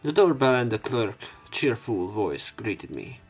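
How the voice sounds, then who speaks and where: A man with a fairly low voice narrates a visual novel as a let's play host. He reads aloud theatrically and slips in casual asides. Faint music runs underneath. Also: very animated, measured pace, creaky, darkish tone, slightly rough.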